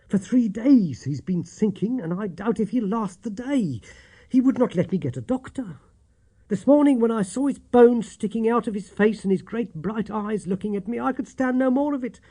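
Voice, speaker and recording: Man voicing an anxious, high-pitched London landlady. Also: animated, moderate pace, dark tone, breathy edge. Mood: distressed. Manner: distressed, deferential, earnest. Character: long-suffering, anxious, deferential London landlady, a woman.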